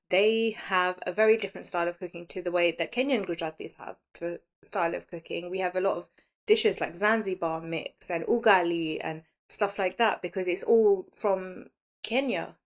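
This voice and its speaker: A woman in her late thirties talking casually and candidly as the host of a personal podcast, in a chatty, conversational way. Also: fairly low pitch. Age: late 30s.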